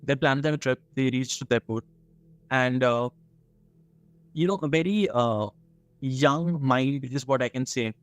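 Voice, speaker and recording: A man with an Indian accent telling a story conversationally, in a halting way with frequent asides. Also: mid-range voice, smooth, dry recording.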